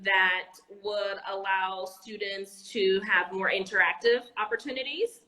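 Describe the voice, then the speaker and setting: A woman with a bright, clear voice gives an enthusiastic interview as an educator. She talks casually over a video call, often pausing on "um" and restarting her sentences. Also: mid-range voice, steady tone, rough recording. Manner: enthusiastic, frequent "um" fillers and restarts. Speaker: guest, educator and entrepreneur. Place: Anguilla.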